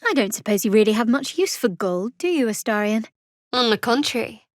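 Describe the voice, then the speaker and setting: A woman's voice: fairly high pitch, expressive, brisk, no echo, natural voice. An actress voicing a guarded, sardonic young female cleric in a video game, with a dry, wry manner. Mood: wry, teasing. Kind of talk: video game character voice acting.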